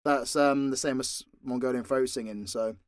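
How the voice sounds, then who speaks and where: A man's voice: mid-range voice, steady tone, moderate pace, no room sound. A British man giving a frank, sweary, rambling and informal Q&A chat, close on the mic. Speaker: audio role-play creator and voice actor.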